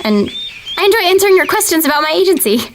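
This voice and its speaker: An actress playing an earnest young female government video analyst who speaks with calm customer-service politeness. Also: extremely high pitch, fast talker, a little hiss or hum. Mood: polite. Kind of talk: audio drama acting.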